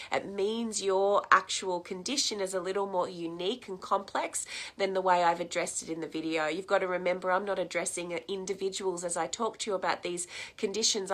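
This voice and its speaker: A woman's voice: on the low side, a bit bright. A woman hosting a health vlog, sounding snuffly from a cold, chatty and encouraging with frequent ums. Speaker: health series host. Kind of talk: casual health vlog advice.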